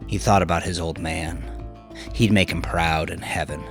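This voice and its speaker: A man's voice: sits low, steady tone, moderate pace. A man reading scripted crime fiction as the narrator. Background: music under the voice.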